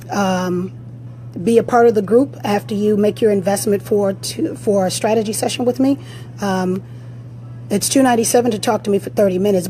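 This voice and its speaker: A woman fitness coach with a raspy voice, giving blunt, direct motivational advice during a live-stream Q&A on a phone. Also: mid-range voice, warm tone, slight background noise.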